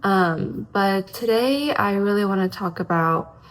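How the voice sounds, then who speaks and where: Raspy-voiced woman, slow hesitant talk. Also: mid-range voice, low-quality recording. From Hong Kong. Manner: hesitant, many ums and restarts. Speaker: vlogger. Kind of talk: casual one-take chat to camera.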